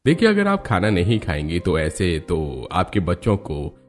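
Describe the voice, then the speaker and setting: A deep, lively-voiced cartoon doctor. He explains things patiently and swings widely in pitch. Soft music plays underneath. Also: unhurried, exaggerated voice. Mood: advising. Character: male doctor, calm and advising.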